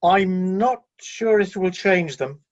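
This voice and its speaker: A man's voice: high-pitched, slow pace, dull audio. A man acting in a radio play as a candid, doubtful heavenly aide, deferential and matter-of-fact toward the one in charge.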